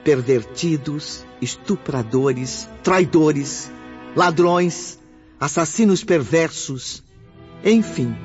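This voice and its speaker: A man's voice: medium pitch, a little mellow. A man giving solemn, emphatic scripted narration for a radio drama. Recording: muffled audio with music behind.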